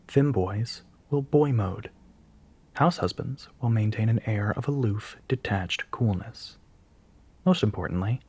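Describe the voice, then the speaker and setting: Breathy, earnest young soft-boy spokesman played by a man. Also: lowish voice. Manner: hesitant, halting, politely firm. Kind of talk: audio roleplay monologue, addressing the listener.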